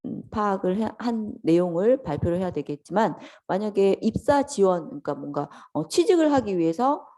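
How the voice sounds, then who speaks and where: A woman lecturer with a voice hoarse from a cold, politely explaining things step by step to students in a conversational lecture style. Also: medium pitch, animated, a little fast, dark tone. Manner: polite and instructional, addressing students directly. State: hoarse from a lingering sore-throat cold. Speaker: lecturer teaching an office software course.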